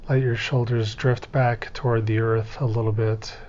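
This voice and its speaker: Male guided-meditation instructor, mid-pitched voice, gentle and unhurried delivery, somewhat muffled lo-fi sound. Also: little pitch movement. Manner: gentle, unhurried step-by-step coaching with pauses.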